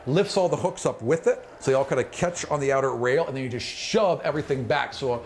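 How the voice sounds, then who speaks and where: A man narrating a tabletop fantasy game as game master, improvising in an animated way with spoken sound effects. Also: medium pitch, fast, a little hiss or hum.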